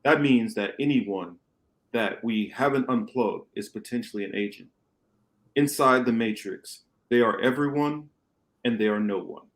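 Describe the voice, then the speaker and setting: A man acting a grave, commanding rebel mentor in an amateur radio play, with deliberate, emphatic phrasing and dramatic pauses. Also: mid-range voice, lightly acted. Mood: grave, instructive. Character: grave, commanding male rebel mentor lecturing his pupil. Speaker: podcast host reading a radio play.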